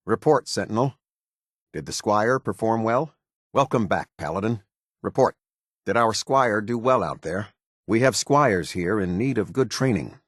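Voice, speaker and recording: A stern American military captain in middle age, speaks in a low, husky voice. He is formal and authoritative, with clipped orders and a pitch that moves widely. The recording is close and dry. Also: no room sound. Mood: stern, impatient. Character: stern, demanding middle-aged male military officer. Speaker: video game voice actor. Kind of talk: scripted game dialogue, military commander.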